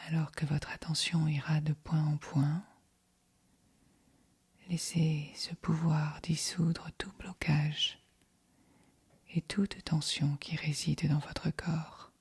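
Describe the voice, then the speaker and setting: A woman guiding a yoga nidra meditation in a soft, breathy, whispery voice, calm and soothing. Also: sits low, no echo. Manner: calm, soothing, measured delivery. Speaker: meditation guide.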